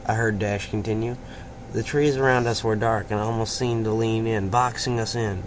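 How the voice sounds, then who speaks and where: A man's voice: lowish voice, steady tone, moderate pace. Man reading fiction aloud, noisy recording. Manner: informal, stumbling over words. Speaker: fan fiction reader. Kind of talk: amateur story narration, breaking into asides. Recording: clearly noisy amateur home recording.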